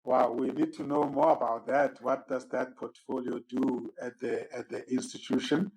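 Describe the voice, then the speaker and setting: A man hosting a formal podcast interview. He is courteous and measured, often repeating words as he introduces his guest. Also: medium pitch, fairly even intonation, vocal fry, darkish tone, rough recording. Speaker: host and moderator.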